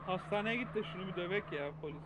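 A man's voice: sits high, rapid-fire, fairly bright tone, slight background noise, rough recording. A man bantering casually as a player in an online roleplay game, blurting short, repeated phrases over game voice chat.

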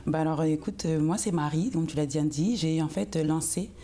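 A young woman from France answers questions as a guest in a television studio, wearing a lapel mic. She explains things earnestly, with frequent hesitation fillers. Her voice is on the low side, soft and mellow. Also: normal speed. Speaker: guest, cosmetics entrepreneur. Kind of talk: interview answers.

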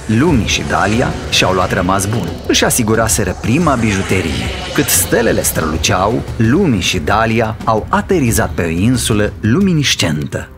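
A man's voice: on the low side, very animated, few pauses. A man reading scripted narration for a children's fairy tale. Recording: voice over prominent background music. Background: music.

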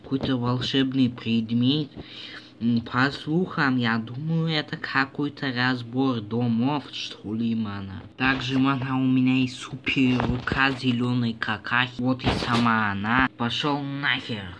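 A man's voice: mid-range voice, measured pace, muffled recording, close to an everyday voice. A man voicing a boastful, goofy toy figure, peppering an improvised amateur cartoon with a repeated slang filler word. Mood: boastful, playful. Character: boastful goofy Tajik toy guy with magic powers. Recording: noisy amateur home recording.

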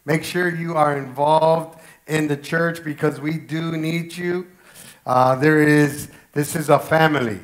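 Raspy-voiced pastor, fairly high. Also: male voice. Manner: earnest, repeating himself for emphasis. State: raspy, strained voice. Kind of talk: church announcements and sermon. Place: church stage with pink-lit wall. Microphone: headset mic.

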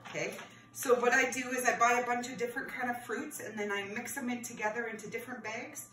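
A woman in her thirties gives a friendly, step-by-step cooking lesson for kids in a home kitchen. She is picked up by a camera across the counter, so she sounds distant and roomy. There is a clear layer of background noise behind her voice. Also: on the high side, fairly even intonation, quick pace, bright, forceful tone. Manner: friendly, explains step by step while preparing food, calls viewers friends. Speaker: teacher hosting a cooking class for children. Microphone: camera across the counter, no visible mic.

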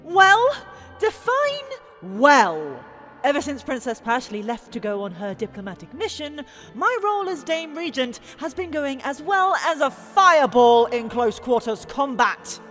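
A woman's voice: high-pitched, sing-song, measured pace, fairly bright tone, full character voice. A woman with an English accent playing a proud, weary storyteller and reluctant regent, theatrical and hesitant, in a fantasy audio drama. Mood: flustered, exasperated. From England. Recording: reverberant hall with music.

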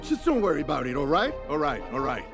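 An actor playing an excitable driving instructor who coaxes and then yells at his student, with music underneath. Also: fairly high pitch, quick pace, gravelly. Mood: coaxing. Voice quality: shouting, exasperated. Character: excitable male driving instructor, quick-tempered.

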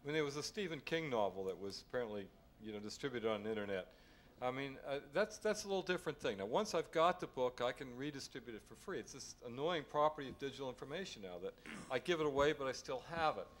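A middle-aged man speaking as a panelist in a hotel conference room, conversational and quick to push back, into a table mic. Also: mid-range voice, expressive. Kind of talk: panel discussion. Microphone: table mic on a stand.

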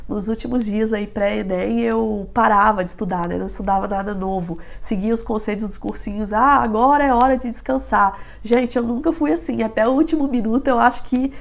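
A woman's voice: fairly high pitch, brisk, vocal fry, few pauses. A young woman in her twenties with a nasal, stuffy voice from a head cold, chatting casually to camera in a small bedroom, giving study advice with frequent filler tags. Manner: chatty, lots of filler tags, animated hand gestures. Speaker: vlogger.

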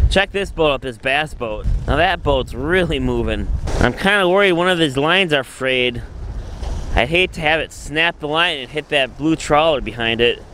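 A middle-aged man filming himself on a sailboat and a marina dock, talking in a relaxed, rambling way as he thinks aloud. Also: on the high side, rough recording. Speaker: solo sailor vlogger. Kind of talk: casual self-filmed vlog. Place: outdoors on a sailboat and a marina dock in strong wind. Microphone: handheld camera close to the face. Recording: wind noise on a camera mic. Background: howling wind and water.

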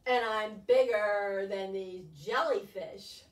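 A woman voicing a boastful, gleeful giant squid from a storybook, with loud drawn-out proud declarations. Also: mid-range voice, voice pushed down, natural voice. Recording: roomy, distant microphone, faint noise.

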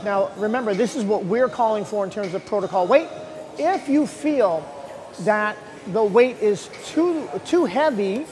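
A man in his forties, a gym coach, giving energetic, encouraging workout instructions to the camera in a large gym on a lapel mic. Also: high-pitched. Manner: energetic, encouraging, loose and unscripted. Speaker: gym owner and fitness coach.

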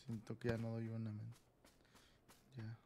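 A man's voice: fairly low pitch, completely flat delivery, unhurried, mellow. A man with a Mexican accent chatting casually as he streams a game. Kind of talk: casual chat while gaming. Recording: clearly noisy mic with clicks.

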